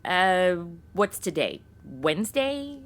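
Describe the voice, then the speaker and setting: A woman's voice: fairly low pitch, very animated, slow. A woman playing a sarcastic, flippant modern young woman in a comic audio drama, talking in casual slangy banter. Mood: sarcastic, exasperated. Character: snarky modern young woman stuck in old radio shows. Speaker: star of an audio comedy.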